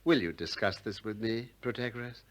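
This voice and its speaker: An actor playing a male philosopher in a 1960s radio drama, courteous but relentless, articulate and measured as he questions insistently. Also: lowish voice, lightly acted. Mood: reflective, earnest.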